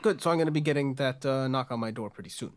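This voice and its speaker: A man's voice: medium pitch, fast, voice pushed down, lightly acted. A man playing a blunt, matter-of-fact apartment owner wary of uninvited guests in a tabletop game. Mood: wary, dry.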